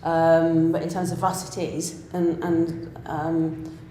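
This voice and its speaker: A middle-aged British woman with a fairly low voice thinks aloud about her work, restarting often and asking herself questions. The recording is echoey, with faint noise behind her. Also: monotone, slow pace, dark tone. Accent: British English. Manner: reflective, with restarts and self-questioning. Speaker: panto writer and director. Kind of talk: interview chat.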